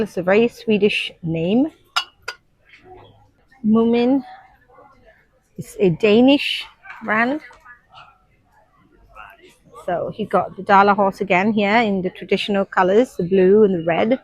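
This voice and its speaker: A woman's voice: medium pitch, darkish tone, no echo, rough recording. A woman in her thirties from Sri Lanka, a nursery teacher, vlogging casually from an airport and explaining things with frequent ums. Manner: chatty, explanatory, frequent um fillers. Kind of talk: casual vlog narration to camera. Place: busy airport shops and seating area.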